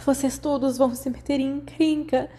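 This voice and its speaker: A high, soft-edged schoolgirl, as a female narrator plays her, anxious and indignant. She pleads and protests like a stickler for rules in a storybook reading. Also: flat delivery, normal speed, close to an everyday voice.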